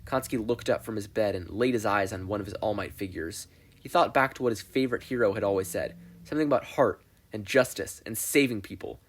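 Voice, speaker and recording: A man reading fan fiction aloud as expressive scripted narration, with a playful, wry storytelling manner. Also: on the low side, a little fast. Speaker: podfic reader.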